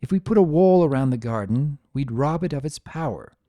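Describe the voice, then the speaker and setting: A performer voicing an older mare pony, a patient mother who explains things calmly and sensibly in a storybook reading. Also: mid-range voice, a little fast, no echo, close to an everyday voice. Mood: explaining. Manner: calm, sensible, gently teaching.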